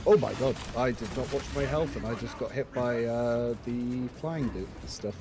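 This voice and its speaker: A man's voice: mid-range voice, moderate pace. Man telling work stories, noisy mix. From England. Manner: animated storytelling, acting out quotes with laughs. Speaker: stream guest. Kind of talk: casual gaming chat. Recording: background noise under voice.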